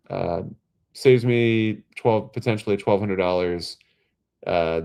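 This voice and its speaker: A man's voice: deep voice, creaky, a little mellow. A man hosts a casual livestream. He sounds stuffed up and nasal from a head cold. He rambles and restarts his sentences, with plenty of ums and uhs. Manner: rambling, halting, many fillers and restarts. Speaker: livestream host.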